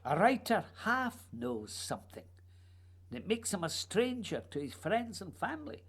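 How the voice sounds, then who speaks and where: A woman's voice: mid-range voice, very animated, normal speed, husky. A woman playing a dreamy, evasive male writer with earnest, poetic delivery in a radio play. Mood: reflective, troubled.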